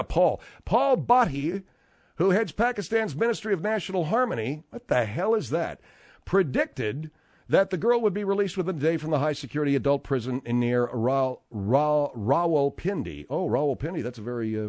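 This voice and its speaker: A male radio host with a fairly high, very lively voice who bickers with callers and cracks jokes over the news. He sounds exasperated and quick. The studio sound is close and dry. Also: no echo. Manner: exasperated, wisecracking, reads news with asides. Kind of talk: comedic radio banter.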